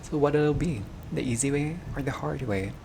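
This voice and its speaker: Wry noir vampire detective for a visual novel, read by a man: creaky, sardonic, coolly threatening, over a noisy recording. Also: on the high side, normal speed. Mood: wry menace. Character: wry old-timey detective, shadowy vampire stranger.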